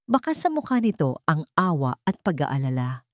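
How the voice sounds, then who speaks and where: A woman reads a sentimental story as a dramatic narrator. Her voice sits fairly low and moves through wide, animated swings of pitch. The delivery is measured and earnest, recorded dry and close. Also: no room sound.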